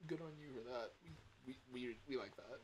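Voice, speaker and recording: A man with a worn-out voice chatting casually on a livestream, halting and rambling as he comments on games. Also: mid-range voice, a little hiss or hum, rough recording. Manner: rambling, halting, breaks into chuckles.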